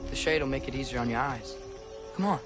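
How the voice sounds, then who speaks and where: A man's voice: mid-range voice, fast talker, music in the background, natural voice. An actor playing an earnest, eager teenage demigod boy in a video game. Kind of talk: video game dialogue acting.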